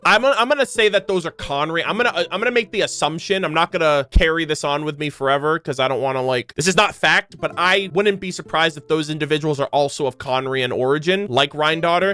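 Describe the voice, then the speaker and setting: A man's voice: a little high, pushed and bright, clear, resonant tone. A young man giving casual, unscripted commentary as a gaming streamer, rambling as he thinks aloud.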